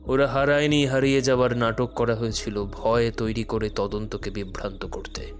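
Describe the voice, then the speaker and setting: An actor playing a calm, enigmatic detective in an audio thriller, speaking in a measured, deliberate, brooding way. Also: male voice, medium pitch, close to an everyday voice. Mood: grave, explaining. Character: calm, enigmatic male detective who works in the shadows. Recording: dramatic music under the voice.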